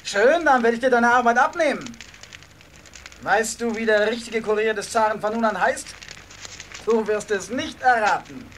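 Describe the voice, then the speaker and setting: An actor playing a cruel, gloating traitor who taunts and barks orders in a radio play. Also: male voice, sits high, normal speed, a bit bright. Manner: sneering, taunting, barking orders. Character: gloating, cruel male traitor villain, commanding. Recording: faint background noise.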